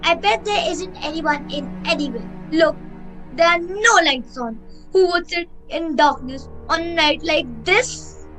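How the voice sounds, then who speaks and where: A woman's voice: extremely high pitch, a little slow, a bit bright, faint music behind, rough recording. A woman playing a nervous, imaginative young boy in a radio play, anxious and protesting. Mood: doubtful, protesting. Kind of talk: amateur radio play acting.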